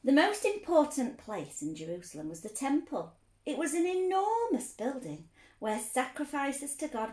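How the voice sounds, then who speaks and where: A woman reading a story aloud for children, expressive, explaining things simply as a teacher would. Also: on the high side, echoey room.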